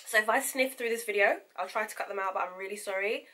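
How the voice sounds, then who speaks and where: A woman's voice: mid-range voice, fast, bright, forceful tone. A young woman, sniffly from a runny nose, chatting candidly to camera in a casual vlog update. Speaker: vlogger.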